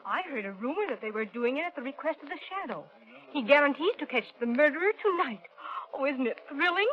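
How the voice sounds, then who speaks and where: An actress playing an excitable, gossipy lady opera-goer in a 1930s radio drama, gushing as she talks. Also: sits high, quick pace. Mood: thrilled. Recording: muffled 1930s radio recording.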